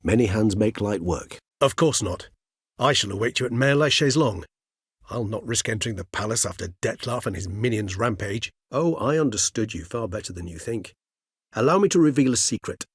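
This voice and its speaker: An actor playing an erudite, courteous older male vampire in a video game, speaking in formal, eloquent, measured phrases with a husky voice. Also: lowish voice, expressive, dry recording, clean studio sound. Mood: courteous, composed.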